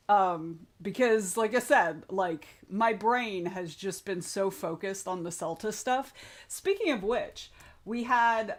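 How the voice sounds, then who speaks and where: Woman streamer, young adult, rambling casual chat, mid pitch, frank and unfiltered. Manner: rambling, self-interrupting, frank with swearing. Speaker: streamer hosting writing sprints. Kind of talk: casual chat reading viewer comments.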